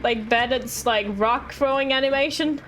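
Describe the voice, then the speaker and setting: High-voiced woman streamer, playfully apologetic. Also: steady tone, moderate pace, a bit bright. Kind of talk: casual game livestream commentary. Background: game music.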